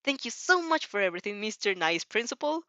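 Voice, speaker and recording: A woman voicing an eager, cheeky teenage vampire girl in an amateur audio drama, bubbly and excitable. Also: mid-range voice, a little fast, no echo, dull audio. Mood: excited, cheerful.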